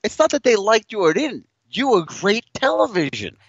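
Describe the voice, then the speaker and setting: Man, late 30s, podcast guest. Blunt and combative, lively swings in pitch, dull dry sound. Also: normal speed, breathy edge, no room sound. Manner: blunt, combative, talks over the other speaker. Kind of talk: heated debate.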